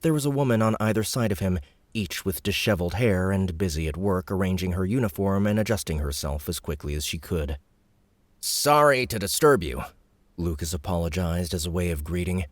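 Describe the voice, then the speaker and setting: A man narrating an audiobook in an expressive storytelling manner, close to the mic. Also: low voice, brisk, dry recording. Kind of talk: scripted narration.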